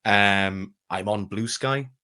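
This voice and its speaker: A man's voice: lowish voice, slow pace, bright, forceful tone, smooth. A man, a voice actor chatting casually as an interview guest. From Wales.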